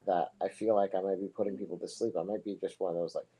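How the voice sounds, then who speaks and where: Low, monotone male soccer commentator. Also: fast talker, breathy edge, low-quality recording. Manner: relaxed, rambling, chatty asides between plays. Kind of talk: casual live play-by-play commentary.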